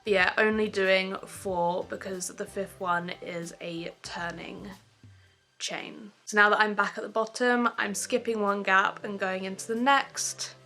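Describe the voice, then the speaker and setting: Woman, young adult, bright clear voice, casual tutorial chat, faint music in the background. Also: mid-range voice, a little slow. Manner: chatty and relaxed, with frequent ums. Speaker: crochet tutor.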